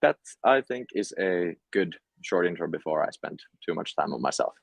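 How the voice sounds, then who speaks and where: A man's voice: low voice, animated, moderate pace, creaky, dry recording. A young man from Finland with a Finnish accent, talking casually as an entrepreneur guest in an interview. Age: young adult.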